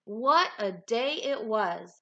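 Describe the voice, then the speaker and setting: A woman reads a picture book to children in a high, bright voice. Her delivery is dramatic and deliberate, leaning on key words. The melody swings widely as she tells the story. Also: animated, dull audio. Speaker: teacher reading aloud to children. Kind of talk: expressive children's storybook read-aloud.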